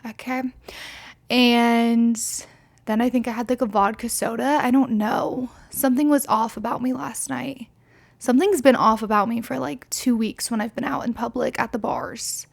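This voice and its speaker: A woman's voice: a little high, fairly even intonation, normal speed, gravelly. A woman in her twenties, slightly tipsy, rambling candidly through a casual solo podcast with lots of fillers and swearing. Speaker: podcast host.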